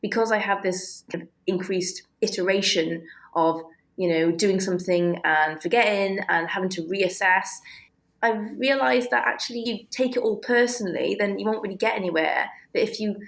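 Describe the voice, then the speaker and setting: A woman being interviewed, explaining ideas reflectively and haltingly as she thinks aloud. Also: mid-range voice, gravelly, poor audio. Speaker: interview guest, author.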